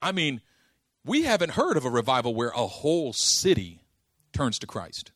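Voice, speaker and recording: Guy preaching, bright tense voice, slangy storytelling, dry close sound. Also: medium pitch, normal speed, no echo. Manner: animated storytelling, acting out dialogue; casual slang. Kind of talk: sermon.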